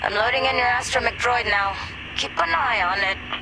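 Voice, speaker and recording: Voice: mid-range voice, normal speed, creaky, noisy background, close to an everyday voice. An actor playing a friendly, eager, chatty starfighter mechanic in a video game, talking informally over music. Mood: businesslike, upbeat. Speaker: hangar mechanic. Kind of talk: cinematic video game dialogue.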